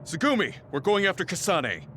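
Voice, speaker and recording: High-pitched urgent young fighter. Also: male voice, huge pitch swings, a little fast, fairly bright tone, faint music behind, exaggerated voice. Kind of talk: video game voice acting.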